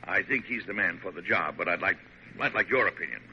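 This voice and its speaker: An actor playing a genial, bumbling yet dignified middle-aged president in old-time radio drama, animated and given to repeating phrases for emphasis. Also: male voice, low-pitched, fast talker. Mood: pleased, brisk. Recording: dull, noisy vintage radio recording.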